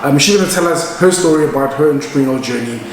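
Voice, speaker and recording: Higher-voiced co-host, echoey. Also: male voice. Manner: laid-back, agreeable, quick back-and-forth. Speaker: podcast co-host. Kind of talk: casual interview hosting. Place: event venue indoors. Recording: echoey room.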